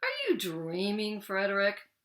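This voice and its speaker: A woman's voice: mid-range voice, huge pitch swings, unhurried, fairly bright tone, roomy sound. A woman voicing chatty little field mice in a children's storybook, anxious and reproachful as they question insistently. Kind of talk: children's storybook read-aloud.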